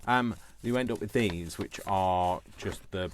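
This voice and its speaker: A low-pitched man chats his way through a hands-on craft demonstration. He rambles easily, poking fun at himself with little jokey asides. There is noticeable noise on the recording. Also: a little slow. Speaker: craft tutorial presenter. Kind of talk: casual, chatty craft demonstration. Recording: noticeable background noise, small clicks.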